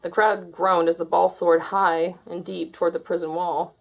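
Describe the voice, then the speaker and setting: A woman reading a children's story aloud as an expressive narrator. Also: fairly low pitch, normal speed, creaky, rough recording. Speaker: storybook reader.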